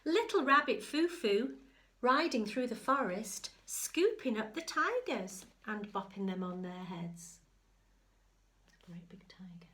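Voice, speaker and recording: A woman reading a picture book aloud to young children, mixing expressive sing-song lines with hushed chatty asides. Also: on the high side, slow. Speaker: infant school story reader.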